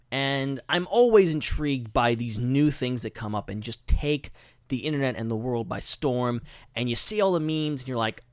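Animated man hosting a podcast, very dry sound. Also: on the high side, no echo. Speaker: podcast host. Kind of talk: casual live podcast chat.

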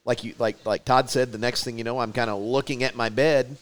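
A man co-hosting a podcast, telling a story haltingly, repeating words and poking fun at himself. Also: medium pitch, steady tone. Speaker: podcast co-host. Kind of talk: casual chat, storytelling.

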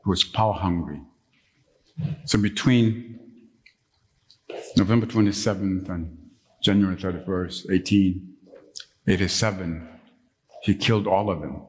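A middle-aged pastor from Uganda delivers a storytelling sermon in a fairly low voice that leaps up high and emphatic when stirred. His words ring out with the long reverb of a church sanctuary.

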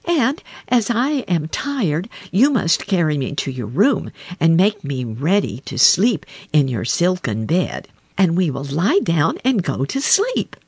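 A woman voicing a small, persistent male frog in a storybook reading, calling out in a high, plaintive voice. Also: very animated, a little slow, few pauses, a little mellow, husky, dry recording, heavily acted. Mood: insistent.

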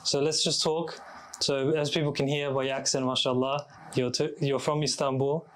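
A man's voice: medium pitch, little pitch movement, normal speed. A man hosting a podcast in a casual, conversational way. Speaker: podcast host. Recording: clear background noise and ambience.

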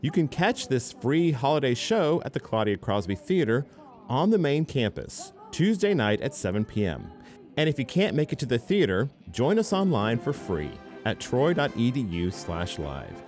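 A man's voice: mid-range voice, big pitch swings, moderate pace, a little mellow. A man reading a polished radio show outro as host, with music underneath. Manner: announcer-style, polished delivery.

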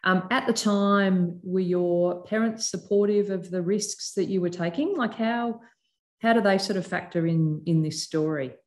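Low-voiced woman interviewer. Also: measured pace, darkish tone. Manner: probing, follow-up questions with short backchannels. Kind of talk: casual interview questions.

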